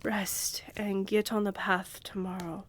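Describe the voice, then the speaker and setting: A woman plays a commanding vampire countess for a fantasy audio drama. She speaks slowly and regally, coolly indulgent, her breathy voice often sinking toward a whisper. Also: medium pitch, little pitch movement, natural voice. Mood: composed, gracious. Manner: measured, regal, condescendingly affectionate.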